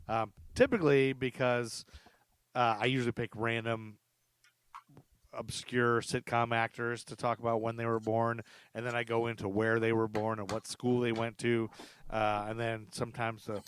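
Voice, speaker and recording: A man's voice: medium pitch, clean tone. A middle-aged man hosting a podcast, chatting casually in a rambling, self-deprecating way and laughing often. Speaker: podcast host. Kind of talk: casual chat.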